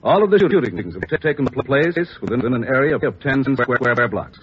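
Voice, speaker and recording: A man playing a gruff, brisk, authoritative police chief briefing detectives in an old radio crime drama. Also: mid-range voice, expressive, natural voice. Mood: businesslike. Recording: muffled, noisy old radio recording.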